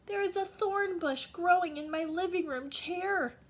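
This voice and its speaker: Woman acting a high, sentimental old lady. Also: a little slow. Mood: plaintive. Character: sentimental old lady devoted to her thorn bush.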